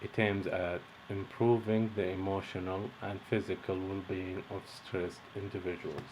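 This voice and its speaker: Young male instructor from Egypt, deep voice, measured scripted read with faint background noise. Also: flat delivery. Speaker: course instructor. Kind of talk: read-out lesson introduction.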